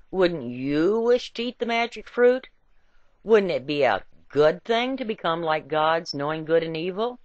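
Woman reader, low-leaning voice, deliberate wry emphasis, dry slightly muffled recording. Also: no room sound. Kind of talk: expressive scripted reading of an essay with scripture quotations.

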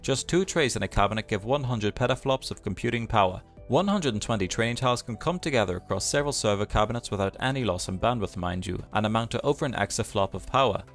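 Fast-reading narrator man, low voice. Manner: rapid, even read of dense specs. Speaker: narrator of a tech explainer channel. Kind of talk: scripted voice-over narration. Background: faint background music.

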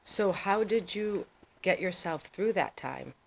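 A middle-aged woman with a slightly low voice hosts an interview on a small studio set. She is attentive and encouraging, asking short, steady questions. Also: takes it slowly, gravelly. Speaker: talk show host. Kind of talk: interview hosting.

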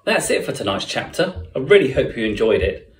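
A man's voice: mid-range voice, sing-song, a little fast. A middle-aged man presenting to children as a storyteller, recorded in a room at home from a few steps away. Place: living room or bedroom at home. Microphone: camera a few steps away. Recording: echoey room, distant microphone.

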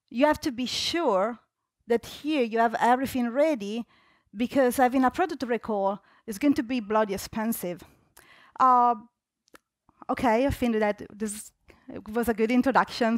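A woman's voice: fairly high pitch, no echo. A young adult woman from Italy with an Italian accent, giving a conversational conference talk with many fillers and restarts. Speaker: conference speaker. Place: large dark auditorium stage.

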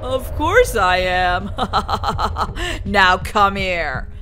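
A performer voicing an evil possessed killer doll, gloating and menacing in a slow, sinister manner. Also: medium pitch, big pitch swings, sharp tone, a little hiss or hum, voice pushed down, exaggerated voice. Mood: gleeful menace.

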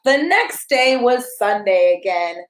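A woman in her thirties reading a picture book aloud to a toddler, expressive and encouraging, coaxing the child along with questions. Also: fairly high pitch, a little slow, echoey room. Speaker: pastor reading a children's story. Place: small carpeted room at home, indoors. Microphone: camera a step or two away.

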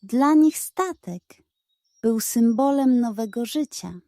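A woman's voice: a little high, animated, takes it slowly, warm tone, dry recording, studio quality. A woman narrating a scripted bedtime story for children, as a storyteller. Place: close, dry recording.

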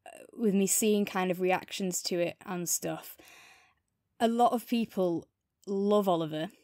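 A woman talks casually as a podcast co-host. Her voice sits at a middling pitch, and she offers opinions thoughtfully and a little tentatively. The sound is dry, with very little room. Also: a little slow, a little mellow, no room sound. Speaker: podcast co-host reviewing audio drama. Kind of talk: casual review discussion.

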